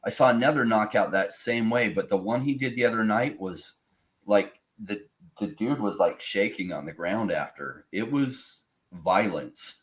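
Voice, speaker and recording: A man's voice: on the low side, animated, measured pace. A man on a casual podcast panel who talks emphatically and lays out long, opinionated arguments.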